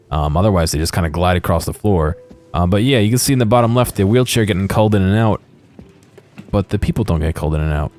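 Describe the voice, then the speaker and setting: A man giving casual, unscripted video game commentary in a hesitant, halting way. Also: low-pitched, a little mellow.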